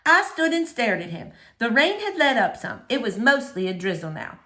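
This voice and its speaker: A woman reading a children's novel aloud as expressive story narration. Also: on the high side, moderate pace. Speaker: storybook reader.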